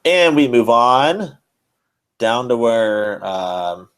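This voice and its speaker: A man's voice: medium pitch, sing-song, very slow, fairly bright tone, clean tone. A man hosting a podcast, giving a mock-serious dramatic reading of fan fiction. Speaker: podcast host.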